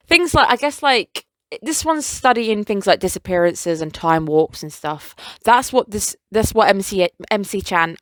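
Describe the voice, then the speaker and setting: A young woman in a casual solo review, gushing as an excited fan and rambling through self-corrections. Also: mid-range voice, no echo. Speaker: anime reviewer.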